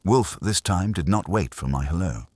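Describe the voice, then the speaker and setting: A man reads detective fiction in a very deep voice with a husky grain. His delivery is measured and wry, yet the pitch moves in lively swings. The recording is close and dry. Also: no room sound. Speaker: audiobook narrator. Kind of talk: scripted first-person detective fiction narration.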